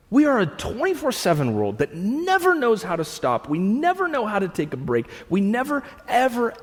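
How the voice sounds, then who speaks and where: Husky-voiced pastor in a reverberant hall. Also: male voice, high voice. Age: 30s. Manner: animated, swinging from loud bursts to hushed, near-whispered lines; conversational with frequent restarts and asides. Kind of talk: sermon. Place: church hall with an audience. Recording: long reverb of a large hall.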